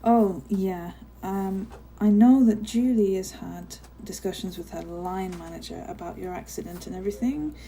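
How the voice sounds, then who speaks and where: A woman's voice: mid-range voice, moderate pace, gravelly, few pauses, a little hiss or hum, natural voice, low-quality recording. A woman playing a friendly, chatty giantess office worker in an ASMR roleplay, caring and a little hesitant as she talks to a tiny colleague. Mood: caring, confiding. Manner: bubbly, caring, apologetic, hesitant with soft laughs. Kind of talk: ASMR roleplay, one-sided conversation.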